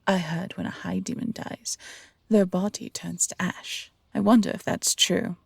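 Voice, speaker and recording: A woman voicing a smug, menacing bounty hunter in an audio roleplay, taunting and condescending in an unhurried way. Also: lowish voice, fairly even intonation, vocal fry, breathy edge, no room sound, heavily acted. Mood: cold threat.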